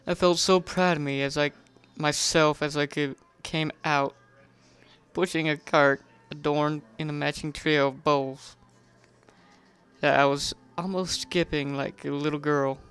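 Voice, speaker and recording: A narrator giving a first-person dramatic story reading in an animated way, sometimes stumbling and rereading lines. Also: mid-range voice, measured pace, clear, resonant tone. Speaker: narrator reading a fan fiction.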